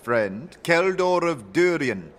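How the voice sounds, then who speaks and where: A man's voice: a little high, big pitch swings, takes it slowly, long reverb tail, voice pushed up. A man voicing a sturdy older cult leader in an audio drama, genial, mischievous and grandly welcoming. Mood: hearty welcome. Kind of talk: audio drama character acting.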